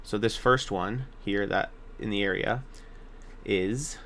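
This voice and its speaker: Low-pitched man giving a casual game guide. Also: slow pace, sharp tone, slight background noise. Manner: informal, hesitant, self-correcting. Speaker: gaming video guide narrator. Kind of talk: casual game walkthrough commentary.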